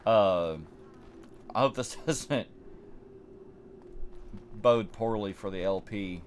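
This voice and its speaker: Stuffy-nosed low-voiced gaming host. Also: male voice, takes it slowly, slight background noise. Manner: rambling, repeats words as he thinks. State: stuffy nose from allergies. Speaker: let's play host. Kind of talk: casual gameplay commentary.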